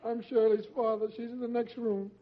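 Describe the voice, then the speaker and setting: An actor playing a distraught, whimpering father in an old-time radio comedy, with a whining, creaky voice. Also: extremely high pitch, little pitch movement, moderate pace. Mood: tearful. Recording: muffled old radio recording.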